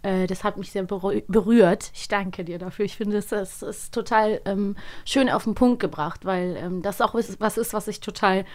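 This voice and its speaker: A woman's voice: mid-range voice, moderate pace, hardly any pauses. A woman speaking in a casual interview chat, appreciative, with hesitant, searching phrasing. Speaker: interviewer, voice actress.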